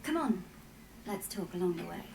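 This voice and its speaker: A woman playing a composed, matter-of-fact scholarly advisor, measured and explanatory, in a fantasy video game. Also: on the low side, expressive, creaky, reverberant, noisy background. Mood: composed, explaining.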